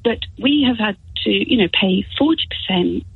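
A woman with an Irish accent calling a radio show, a little hoarse and talking haltingly with many restarts. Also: mid-range voice, lively intonation, dry recording. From Ireland. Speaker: caller. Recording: tinny phone line.

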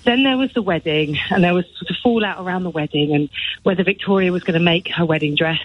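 A woman's voice: on the low side, fast, dry recording, dull audio. A woman, a showbiz editor, chatting on radio in a gossipy way with many restarts. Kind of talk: casual radio chat.

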